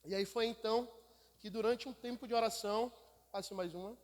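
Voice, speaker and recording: A man's voice: high voice, steady tone, a little fast. A Brazilian man, a missionary, giving an emphatic church sermon as storytelling, repeating phrases for effect. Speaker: missionary speaker. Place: church hall. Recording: echoey hall with long reverb.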